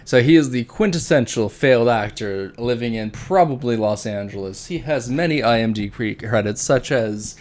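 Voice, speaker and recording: A man co-hosting a casual podcast, mocking his subject with a deadpan delivery. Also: medium pitch, moderate pace, hardly any pauses. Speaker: podcast co-host. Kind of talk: casual chat.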